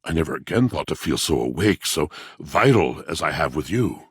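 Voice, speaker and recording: An actor playing a grandiloquent, melancholy washing machine in a video game, with florid, courtly, old-fashioned diction and a gravelly voice. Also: male voice, very animated, normal speed, no echo, clean studio sound, full character voice. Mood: wistful, grateful. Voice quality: gravelly, deep. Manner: theatrical, florid, formal old-fashioned diction, savoring words. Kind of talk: theatrical video game character acting.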